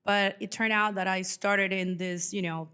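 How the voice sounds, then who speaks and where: Woman, 40s, mid-pitched voice, informal podcast guest telling personal stories. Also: steady tone, normal speed, bright, forceful tone, clean tone. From Venezuela. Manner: chatty, informal, acts out remembered dialogue. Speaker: podcast guest, financial professional. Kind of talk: casual personal storytelling.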